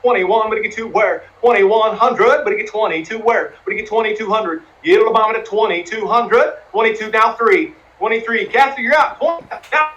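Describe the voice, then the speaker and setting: A man running a charity auction, rattling off bids in a rolling chant and urging bidders to click once more. His voice sits high and rings bright and tense. He keeps the numbers coming without a break. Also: dull audio. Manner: fast rhythmic number calling, coaxing bidders. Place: live streamed fundraising event.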